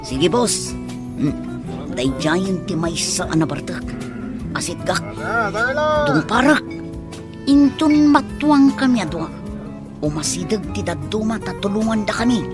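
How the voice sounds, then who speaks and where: A woman's voice: medium pitch, very animated, unhurried, long pauses, music in the background. A woman playing an eager, obedient gang henchman who schemes in a comedy radio drama. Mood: scheming.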